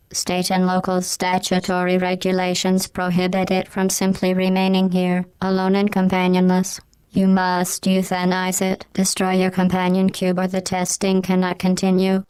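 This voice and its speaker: A woman playing a coldly polite, menacing artificial intelligence in a video game, deadpan and formal, with a synthetic voice flattened to a monotone. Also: clean tone, dry recording, low-quality recording. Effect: synthetic robot voice, pitch flattened to monotone. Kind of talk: scripted video game voice lines.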